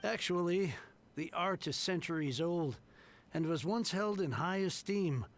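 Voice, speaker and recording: An actor playing a grateful, weary middle-aged merchant heir in a game, earnest and grumbling. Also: male voice, high-pitched, measured pace, slight background noise.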